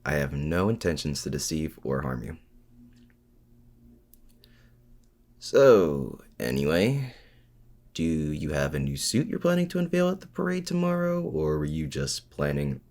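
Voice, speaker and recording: Lonely mad-scientist villain, played by a man, for ASMR audio drama: rock-bottom register, gravelly, halting and earnest. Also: a bass voice, big pitch swings, exaggerated voice. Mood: wry, reassuring. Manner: hesitant, halting, softly sincere. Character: lonely, awkward supervillain mad scientist, male, confiding in his rival. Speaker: audio roleplay performer. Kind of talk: intimate ASMR audio roleplay acting.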